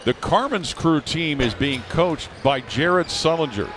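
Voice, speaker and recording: A man announcing a basketball broadcast in a measured, informative way, speaking into a headset mic. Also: fairly high pitch, lively intonation, background noise. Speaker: play-by-play announcer. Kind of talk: broadcast play-by-play.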